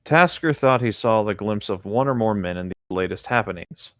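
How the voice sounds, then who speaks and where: A man's voice: on the low side, animated, moderate pace, dry recording, studio quality. A man co-hosting a read-aloud, moving between narrating the text and chatting casually. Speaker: co-host reading fan fiction aloud.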